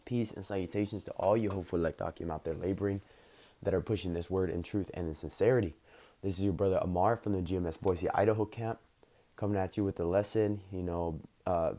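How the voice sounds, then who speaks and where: Male lay preacher, deep and warm, slightly hoarse, loose unscripted delivery. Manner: rambling, many fillers, reads scripture aloud. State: slightly hoarse, losing his voice. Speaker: religious teacher. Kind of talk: informal scripture lesson.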